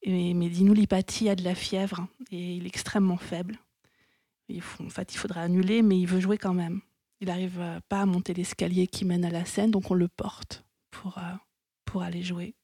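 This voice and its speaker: A woman's voice: on the low side, level tone, normal speed, dark tone, no room sound. Chatty woman radio host, dry studio. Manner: chatty, laughs easily, many fillers. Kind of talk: casual music radio chat.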